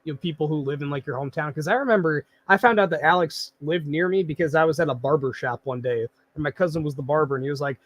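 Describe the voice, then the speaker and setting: A man hosting a casual video chat, relaxed and laid-back, filling his talk with "yeah" and "like". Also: fairly high pitch, fast, few pauses. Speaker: host.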